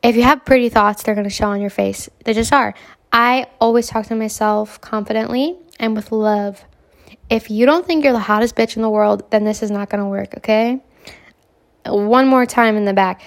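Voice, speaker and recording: A young woman hosting a casual self-help podcast, hoarse from a cold, talking in a brash, self-assured way and swearing freely. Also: fairly high pitch, fairly even intonation, normal speed. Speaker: podcast host.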